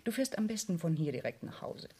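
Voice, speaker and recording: A middle-aged society aunt in a radio drama. Her low voice is fussy and insistent, that of a woman guarding her status and dodging awkward truths. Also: very animated, a little fast, dark tone, breathy edge. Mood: brisk, placating. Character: wealthy, status-anxious aunt, brisk and evasive. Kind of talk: German radio play acting.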